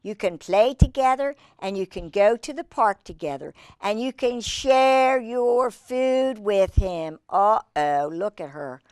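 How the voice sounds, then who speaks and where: A woman hosting a children's storytime, reading aloud with drawn-out emphasis and chatting in asides to young listeners. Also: mid-range voice, animated, darkish tone, smooth, dry recording. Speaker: host reading picture books to children.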